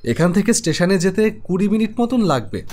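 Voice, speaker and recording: A young man narrating an animated horror story in the first person, conversational and reflective. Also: sits high, fairly quick, background noise. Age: young adult. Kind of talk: scripted storytelling.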